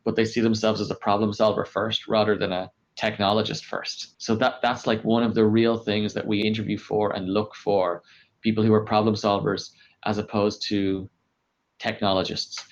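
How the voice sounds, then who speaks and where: A man explaining his work in a conversational interview, measured and explanatory, as a podcast guest. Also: on the low side, flat delivery. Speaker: podcast guest, engineering director. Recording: dull, lo-fi podcast audio.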